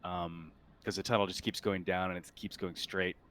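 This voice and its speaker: A man running a tabletop sci-fi roleplaying game as game master, improvising his narration in a halting, thinking-aloud way. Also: deep voice, flat delivery, a bit bright, slight background noise.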